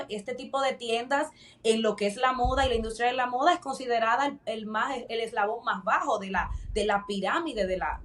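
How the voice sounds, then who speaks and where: A woman's voice: a little high, a bit bright, far from the mic, slight background noise. A woman with a Dominican Spanish accent telling her personal story as a podcast guest, emphatic and repeating phrases for weight. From Dominican Republic.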